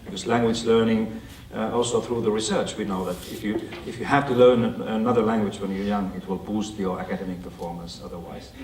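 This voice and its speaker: Man with a finnish accent, lecturing, lowish voice, echoey hall, picked up from a distance. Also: fairly even intonation, fairly quick, hardly any pauses, darkish tone. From Finland. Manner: conversational, asks the audience questions. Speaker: guest speaker. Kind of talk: lecture presentation to an audience.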